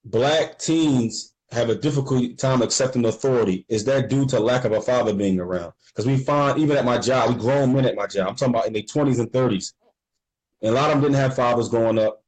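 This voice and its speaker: Man asking measured questions. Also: mid-range voice, a little mellow, rough recording. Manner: asks measured, reflective questions. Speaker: interviewer. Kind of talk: conversational interview.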